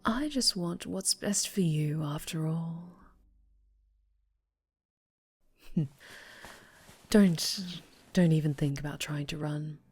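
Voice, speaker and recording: Woman acting a slow, breathy, possessive superheroine. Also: deep voice, soft background music, full character voice. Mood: quietly threatening. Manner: breathy cooing that slips into whispers and soft menace. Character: obsessive female superhero captor, sweetly possessive and sinister. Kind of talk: ASMR roleplay. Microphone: close microphone.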